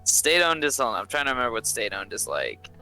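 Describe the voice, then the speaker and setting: Man, bright tone, middle pitch, hesitant, noncommittal, casual gaming chat, faint music. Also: very animated, a little fast, rough recording. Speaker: player.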